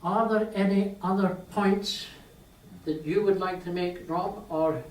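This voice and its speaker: A man chairing a meeting, speaking in a measured, formal way and inviting others to speak. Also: high-pitched, steady tone. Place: meeting room. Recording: echoey hall, distant mic, faint hiss.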